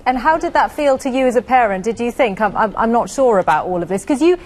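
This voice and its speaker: A woman's voice: on the high side, animated, fast, slight background noise. A woman presenting the news in a studio and interviewing a guest, polished and lightly amused.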